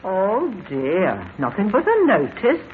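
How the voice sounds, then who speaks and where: A woman's voice: medium pitch, huge pitch swings, slow pace, a little hiss or hum. An actress playing an eager-to-help landlady who talks in a chatty, deferential way, in a tinny old radio drama recording. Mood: fussy. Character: fussy, eager-to-help older female landlady.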